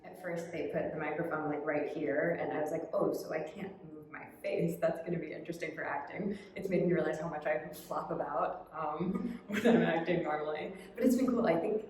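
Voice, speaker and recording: Fast-talking low-voiced woman in echoey hall. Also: darkish tone, recorded from a distance, a little hiss or hum. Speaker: actor. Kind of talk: casual chatty interview.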